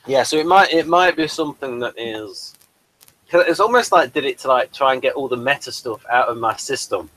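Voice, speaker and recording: A man's voice: medium pitch, a little fast, fairly bright tone, poor audio. A man hosting a casual interview, rambling and chatty and addressing viewers as folks. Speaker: host and playwright.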